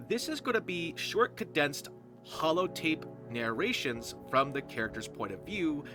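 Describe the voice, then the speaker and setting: A man hosting a gameplay series with casual, rambling, informal commentary. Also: medium pitch. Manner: informal, rambling, occasionally profane. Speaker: roleplay series creator and host, voice actor. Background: music.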